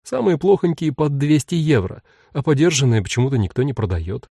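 Audiobook part male reader: exasperated mother, hesitant, bewildered, animated inflection, dry sound. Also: mid-range voice, a little fast, vocal fry, breathy edge, no room sound, heavily acted. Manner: hesitant, sighing, snapping under strain.

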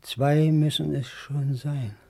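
Anxious, faltering man, slow and high-pitched. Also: fairly even intonation, creaky, darkish tone, heavily acted. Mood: weak, resigned. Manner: halting, repeating words, faltering. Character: anxious man named Anton, faltering and fearful. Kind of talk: 1950s German radio drama acting.

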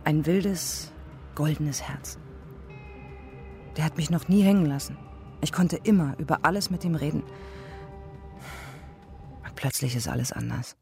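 An actress playing a sharp-tongued, defensive woman shopkeeper in a naturalistic radio drama, speaking bluntly. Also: low voice, unhurried, long pauses. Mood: wistful. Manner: blunt, caustic. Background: music.

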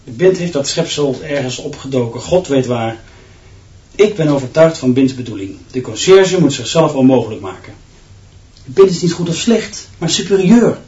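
A man's voice: medium pitch, animated, moderate pace, a little mellow, lightly acted. An actor playing a cynical, gossipy male teacher in a radio play, talking insistently and emphatically about school matters. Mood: insistent, scornful. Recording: roomy, distant, slightly dull sound.

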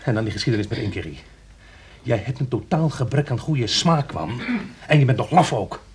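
A man acting in a radio play as a bitter, accusing and excitable man with a sarcastic, emphatic manner. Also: medium pitch, brisk, close to an everyday voice.

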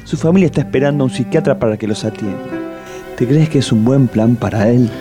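A man's voice: medium pitch, quick pace, natural voice. Comic radio sidekick: a man as a mid-voiced Argentine friend, pleading and pitying but always peckish, music underneath. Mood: earnest, pitying. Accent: Argentine Rioplatense. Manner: pleading, sympathetic. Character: soothing, compassionate, greedy friend of the cook. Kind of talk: comedic radio theatre acting.

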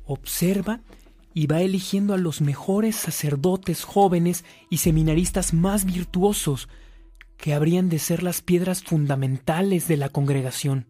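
A man's voice: on the high side, measured pace, warm tone. A man with a Mexican accent narrating a radio drama from a script.

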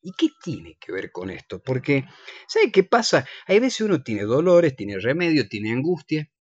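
A man's voice: mid-range voice, sing-song, measured pace, husky, dry recording. An Argentine man presenting a radio show in a conversational way, with playful, teasing banter. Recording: dull, lo-fi radio recording.

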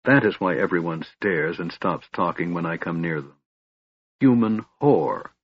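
A man's voice: fairly low pitch, lively intonation, moderate pace, breathy edge, lo-fi sound. A man voicing a proud young elven woman, defiant and wounded, speaking with intense emotion in a fantasy audiobook. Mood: hurt, stunned.

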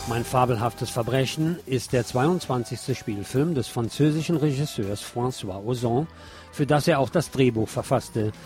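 A man's voice: medium pitch, moderate pace, darkish tone, soft background music. A man hosting a radio show, reading a film review from a script with chatty asides to his listeners.

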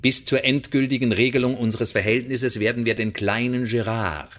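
An actor in a radio play voicing a smug French spymaster who blackmails with mock friendliness and taunting, theatrical emphasis. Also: male voice, mid-range voice, fairly even intonation, moderate pace, a bit bright, lightly acted. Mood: smug menace.

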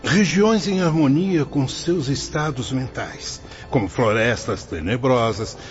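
An actor playing a grave spirit friar in a radio serial, explaining with solemn, declamatory fervour. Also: male voice, medium pitch, takes it slowly, natural voice. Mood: grave, ominous. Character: grave, fervent male spirit friar guiding visitors. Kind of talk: dramatic radio play acting. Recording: dull lo-fi audio under loud music.

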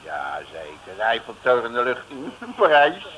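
An actor playing a boastful, muttering, self-important detective in a 1960s Dutch radio serial, with theatrical exclamations. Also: male voice, mid-range voice, wildly swooping pitch, moderate pace, a bit bright. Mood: bombastic. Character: boastful, blustering male detective with a nose for money. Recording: noisy old radio recording.

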